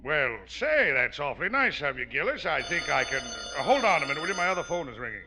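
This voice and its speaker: An actor playing a polite, businesslike factory boss in a 1940s radio sitcom. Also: male voice, fairly high pitch, big pitch swings, quick pace. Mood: cordial. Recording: noisy 1940s radio recording.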